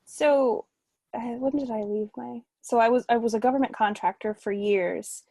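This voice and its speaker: A woman's voice: fairly high pitch, normal speed, creaky, dark tone, dry recording. A woman guest on a podcast, an artist, chatting informally and with some self-deprecation about herself. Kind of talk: casual interview chat.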